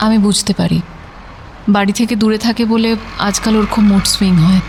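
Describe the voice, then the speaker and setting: A woman's voice: mid-range voice, fairly even intonation, moderate pace, a little mellow, slight background noise, lightly acted. An actress playing a young woman in love, exasperated and anxious, chiding and pleading in a romantic audio drama. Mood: worried, firm.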